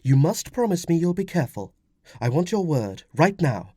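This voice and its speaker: A man voicing a young Roman mother in an audiobook, firm, fretful and gently insistent. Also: fairly high pitch, big pitch swings, moderate pace, breathy edge, dry recording, studio quality, pitched above the performer's own voice. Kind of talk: audiobook character dialogue.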